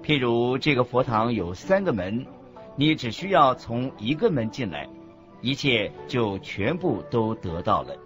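A man reading scripted religious teaching as a narrator, in a deliberate, instructive manner. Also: medium pitch, sing-song. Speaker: narrator of a Buddhist teaching radio program. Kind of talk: scripted didactic narration in a radio program. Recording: muffled lo-fi audio with music underneath. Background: background music.

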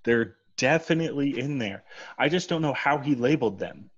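Man reading an urgent investigator, husky voice. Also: medium pitch, expressive, brisk. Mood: insistent. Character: urgent, anxious investigator pressing a dim ally. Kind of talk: amateur table read over video call. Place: home, remote video call. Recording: dull, lo-fi video call audio.

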